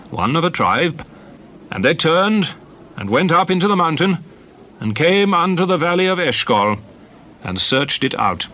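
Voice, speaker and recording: A man playing Moses as a solemn leader addressing his people in a dramatized scripture reading, speaking in deliberate, emphatic oratory. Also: fairly high pitch, fairly bright tone. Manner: declamatory, deliberate, emphatic phrasing.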